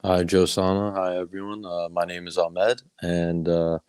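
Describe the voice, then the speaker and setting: A man with a very deep, flat voice speaks on a video call, hesitant and restarting often. The sound is dry and close. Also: measured pace, clean tone, dry recording. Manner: hesitant, many fillers and restarts. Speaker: student debt organizer. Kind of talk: panel introduction.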